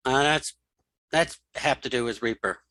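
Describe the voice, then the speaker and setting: A middle-aged man hosting a screen reader software tutorial, informally thinking aloud and repeating key steps. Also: mid-range voice, normal speed, no echo. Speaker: tutorial host and web developer.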